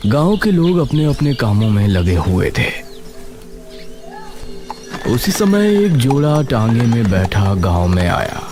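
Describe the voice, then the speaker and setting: Guy telling horror story, slow, really swingy dramatic pitch, music behind. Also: sing-song. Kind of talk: dramatic scripted horror narration.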